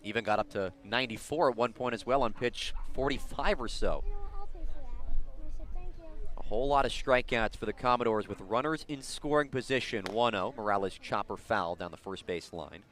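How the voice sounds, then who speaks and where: A man's voice: on the low side, normal speed, a bit bright. Man calling live baseball play-by-play. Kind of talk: live radio sports commentary. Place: outdoors at a baseball park.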